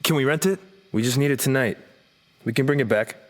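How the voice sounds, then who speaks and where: An actor playing a cranky, blunt, foul-mouthed rock guitarist in an audio drama. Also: male voice, mid-range voice, fairly quick, natural voice. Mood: irritated, blunt. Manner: irritable, blunt.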